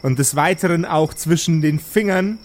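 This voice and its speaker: A man's voice: on the high side, normal speed, a little hiss or hum. A man narrating an improvised tabletop game as game master, joking and searching for words as he goes.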